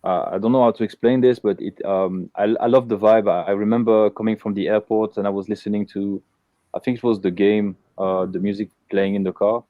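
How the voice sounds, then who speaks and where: A man's voice: lowish voice, fairly even intonation, a little fast, no echo, lo-fi sound. A man from Belgium hosting a casual live interview, talking with many fillers and restarts. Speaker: host.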